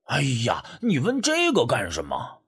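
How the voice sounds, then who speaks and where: Man acting a jittery, hesitant village sidekick, husky voice. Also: mid-range voice, huge pitch swings, measured pace, fairly bright tone, full character voice. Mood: flustered, hesitant. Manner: hesitant, stammering, interjection-filled. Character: Ah Shui, timid, superstitious, excitable village friend, male.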